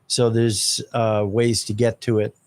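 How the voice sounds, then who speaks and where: A man's voice: lowish voice, completely flat delivery, unhurried, a little mellow. A man producing a talk radio show, chiming in casually to explain sports news.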